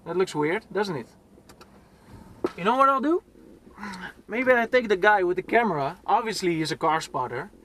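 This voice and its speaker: A man's voice: high voice, expressive, moderate pace, pauses often, fairly bright tone, slight background noise. A young man from Poland chatting casually with a passenger while driving, laughing often and restarting his sentences, recorded on a dash camera inside a car. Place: inside a car in city traffic. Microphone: dash-mounted camera.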